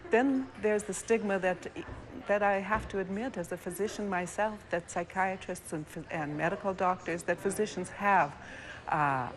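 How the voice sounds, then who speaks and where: Clear crowd chatter sits behind a woman. She answers like a medical expert on live news, earnest and a little halting. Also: lowish voice. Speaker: physician, clinical director, interview guest.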